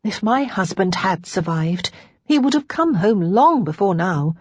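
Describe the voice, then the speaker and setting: Gentle, composed young noblewoman voiced by a woman. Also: medium pitch, big pitch swings, unhurried, husky, dry recording. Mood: composed, rueful. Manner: gentle, grave, genteel. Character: young widowed lady, gentle, composed, earnest. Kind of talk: audiobook character dialogue.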